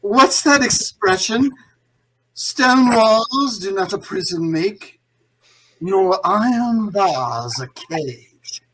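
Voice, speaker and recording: A man playing a suave, pompous escaped-convict crime boss in a 1940s radio drama, drawling with mock courtesy in a husky voice. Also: sits high, animated, extremely slow, a bit bright, exaggerated voice, rough recording. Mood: gloating. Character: suave, theatrical, gloating male escaped-convict villain and gang boss.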